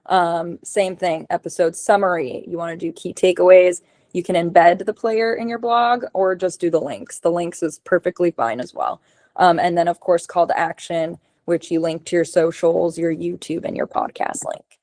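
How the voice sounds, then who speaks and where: A woman giving a casual webinar presentation on a video call, running through tips as lists with many ums and likes, her voice going hoarse. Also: fairly low pitch, fairly even intonation, normal speed, a little mellow, no echo, clean studio sound. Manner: casual, lots of ums and likes, list-like delivery. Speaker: podcast production consultant.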